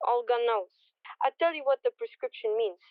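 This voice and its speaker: Woman playing mysterious temptress, high voice. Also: fairly quick, dry recording, narrow, tinny audio, lightly acted. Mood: knowing, cryptic. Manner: clipped, cryptic, broken English. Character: mysterious, knowing Russian temptress on the telephone. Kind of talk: 1940s radio detective drama acting.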